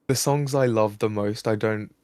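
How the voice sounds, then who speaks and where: A man's voice: lowish voice, steady tone, normal speed, warm tone, dry recording. A man co-hosting a live stream, answering in a hesitant, laid-back way with short replies. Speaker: co-host and editor. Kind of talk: casual chat.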